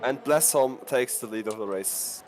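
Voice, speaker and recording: A man's voice: medium pitch, low-quality recording. A man from northern Bavaria with a German accent, commentating casually on a sim race with hesitant fillers. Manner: hesitant fillers, quick to laugh.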